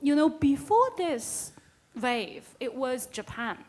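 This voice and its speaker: A woman's voice: high voice, sing-song, slow pace, darkish tone. A woman on a conference panel, putting a question to another panelist in non-native English and laughing often. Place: conference room.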